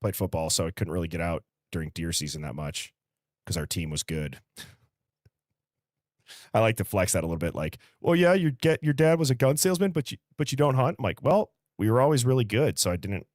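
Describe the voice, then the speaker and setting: A man in his early thirties with an American accent and a low-pitched voice hosts a live stream. He reads out comments and rambles off into casual asides. The sound is dry, with very little room around the voice. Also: no echo. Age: early 30s. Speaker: livestream host.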